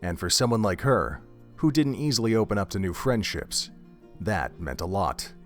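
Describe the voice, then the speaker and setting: Male narrator, low voice, steady descriptive read over faint music. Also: normal speed. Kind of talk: scripted audio drama narration.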